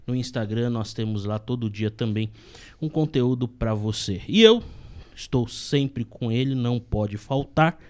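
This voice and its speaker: A man's voice: mid-range voice, animated, unhurried. A young man hosting a casual podcast, welcoming guests and promoting the show in an upbeat way. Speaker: podcast host.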